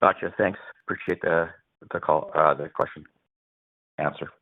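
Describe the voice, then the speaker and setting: A man's voice: extremely low pitch, steady tone, moderate pace, no echo. A man calling in as an investor to ask a question on an earnings call. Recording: dry, phone-like call line.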